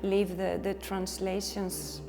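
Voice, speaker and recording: A woman's voice: mid-range voice, steady tone, takes it slowly, long reverb tail, over music. A middle-aged Icelandic woman with an Icelandic accent, speaking reflectively in an interview and often restarting her words. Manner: restarts, repeats words while thinking. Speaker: novelist, interview guest.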